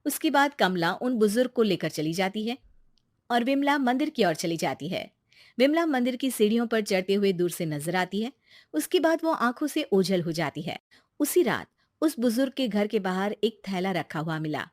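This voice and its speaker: A woman's voice: medium pitch, big pitch swings, normal speed, no room sound, studio quality. A woman reading scripted narration for a children's moral story.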